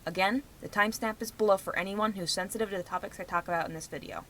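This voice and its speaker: Bright-voiced young woman, emphatic vlogger. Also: mid-range voice, fast talker, a little hiss or hum. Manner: emphatic, rhetorical, outspoken. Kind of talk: rambling personal vlog commentary.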